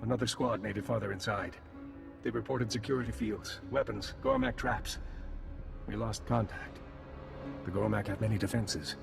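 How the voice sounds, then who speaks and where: Very gravelly, grim alien squad leader. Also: male voice, on the low side, fairly even intonation, normal speed, long reverb tail, over music. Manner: terse, solemn delivery. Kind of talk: video game voice acting.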